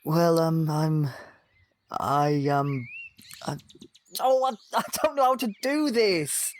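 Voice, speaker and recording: A man playing a shy, nervous young man in an audio drama, talking haltingly and unsurely. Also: fairly high pitch. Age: young adult. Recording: noticeable background noise with birdsong.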